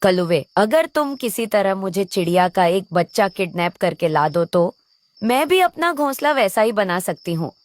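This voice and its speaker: An envious cartoon crow wife, voiced by a woman, at an ordinary female pitch. She complains and coaxes with an irritable edge, recorded very dry. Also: very animated, normal speed, dry recording, close to an everyday voice. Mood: coveting. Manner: complaining, coaxing. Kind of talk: children's cartoon voice acting.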